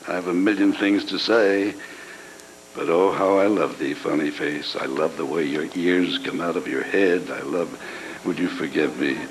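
An older actor playing a devoted, tender suitor in a live old-time radio drama reading. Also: male voice, low voice, gravelly, poor audio. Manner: tender, earnest, theatrical. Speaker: actor in a live reader's theatre. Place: hotel ballroom stage. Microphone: far camera. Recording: audience video in a ballroom, hum.

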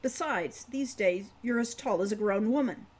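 A woman's voice: medium pitch, moderate pace, a little mellow, breathy edge, close to an everyday voice. A woman voicing a serious, patient older brother in an audiobook, giving restrained, businesslike instructions. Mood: cautious.

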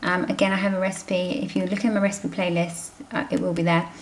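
A woman's voice: on the low side, flat delivery, fast. British woman, roomy homemade vlog sound. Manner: informal, chatty. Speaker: family vlogger. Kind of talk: casual grocery haul chat, voice-over to handheld filming. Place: indoor room at home. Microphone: handheld camera microphone. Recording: roomy, noisy home camera recording.

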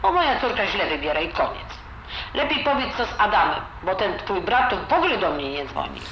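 A woman's voice: medium pitch, very animated, fairly quick. A woman playing a blunt, disapproving old grandmother with a Silesian accent and grumbling, dialect-filled talk in an audio drama. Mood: grudging. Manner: grumbling, colloquial, full of dialect words. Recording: roomy, dull, noisy home recording.

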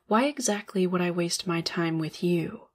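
A woman's voice: lowish voice, moderate pace, slightly rough. A woman playing a cold, calculating spider-woman law boss in an ASMR roleplay, sardonic and condescending. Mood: cold, scheming. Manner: sardonic, condescending, drawling with smug chuckles.

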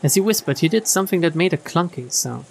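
A woman streamer reading visual novel text aloud in an expressive storytelling style. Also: on the low side, quick pace, gravelly, a little hiss or hum. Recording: game music behind the voice.

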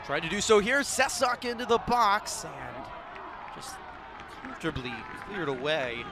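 A man's voice: on the high side, expressive, unhurried, crowd noise, noisy background. A young man doing live play-by-play commentary of a soccer match as the announcer. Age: young adult.

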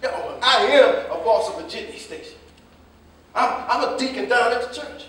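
A man playing a stern American father, high-voiced, echoey. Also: moderate pace, a bit bright. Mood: proud, angry. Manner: scolding, reproachful. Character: stern, proud older father, jitney station boss, bitterly disappointed. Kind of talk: staged play reading. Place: indoor staged reading, recorded from afar. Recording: echoey stage, distant microphone.

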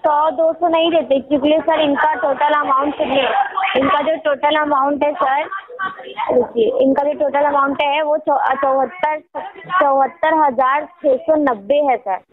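A woman's voice: high voice, steady tone, a little slow, heavy background noise. A woman working as a loan recovery agent, speaking insistently in a phone conversation. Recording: phone line with busy voices behind.

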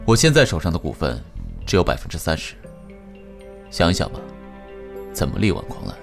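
An actor playing a composed young company heir who talks in a calm, measured, authoritative way. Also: male voice, low-pitched, creaky, faint music behind, exaggerated voice. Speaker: company head in an audio drama. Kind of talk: amateur audio drama acting.

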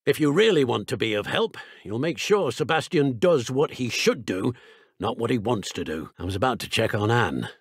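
A gravelly, husky older British wizard from a video game. He is a strict guardian uncle with a short temper, curt and scolding, and his pitch moves widely as he talks. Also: expressive, normal speed, dry recording, clean studio sound. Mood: weary, stern.

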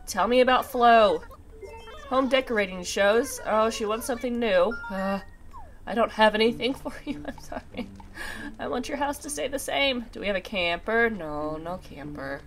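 Stuffed-up slightly nasal woman, kinda high voice, chatting away over game music. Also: normal speed. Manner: chatty, talks to herself. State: congested with a sinus infection, recovering from a cough and sore throat. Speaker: gaming video host. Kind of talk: casual let's play commentary. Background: video game music.

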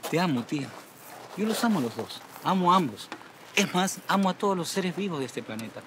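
An actor with a Peruvian accent playing a meddling, preachy young nephew in a comedy series. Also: male voice, sits high, sing-song, fairly quick, background noise. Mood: innocent. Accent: Peruvian Spanish. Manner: playful, preachy.

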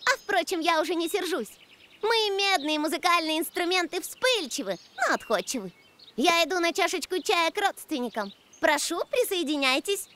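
A woman's voice: very high-pitched, expressive, moderate pace, bright, forceful tone, slight background noise, full character voice. A woman voicing a haughty, theatrical cartoon trumpet lady with a hot temper that soon cools. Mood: haughty, sociable. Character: haughty female trumpet, hot-tempered but quick to forgive. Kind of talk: animated cartoon character acting. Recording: faint music behind.

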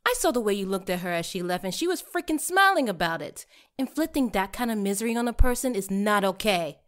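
A woman's voice: medium pitch, animated, quick pace, fairly bright tone, natural voice. Young woman coworker, acted by a woman, mid-range voice, blunt, casual, protective, reassuring, audio drama. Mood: indignant.

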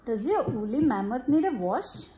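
A woman reading a storybook aloud to a child in an expressive, deliberate way, pausing to ask questions. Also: on the high side, a little hiss or hum. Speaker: picture-book reader to a child. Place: room at home, at a glass table. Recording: echoey room.

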